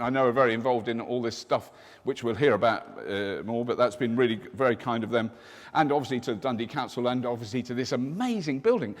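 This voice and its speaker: A middle-aged man hosts a live panel with enthusiastic, joking energy, often breaking off and restarting his sentences. His voice sits at an ordinary pitch for a man. Long reverb from the large hall trails behind him. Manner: enthusiastic, jokey, with self-interrupting restarts. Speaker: host.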